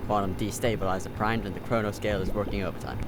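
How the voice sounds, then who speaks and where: A wary male scientist acted by a man with a slightly low voice. He is a cautious, exasperated lab partner in an audio drama, with clear noise around him. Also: steady tone, fast talker, close to an everyday voice.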